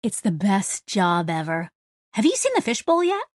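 A woman voicing a bubbly, excitable young woman mechanic with a gushing, hugely friendly manner in an audiobook story. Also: mid-range voice, moderate pace, no echo, clean studio sound. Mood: delighted. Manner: gushing, exuberant.

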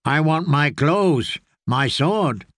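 A man's voice: on the high side, animated, slow pace, fairly bright tone, dry recording, clean studio sound. A man voicing a wary, wounded woman knight who speaks in curt, blunt demands.

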